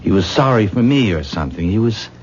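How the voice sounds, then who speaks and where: A muffled, lightly hissy 1970s radio drama recording of a male actor playing an earnest, self-deprecating man. His voice sits low and moves freely up and down as he hesitates and marvels. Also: animated, brisk, darkish tone, natural voice. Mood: wondering, unsettled. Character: self-doubting, star-struck ordinary man confiding in a woman.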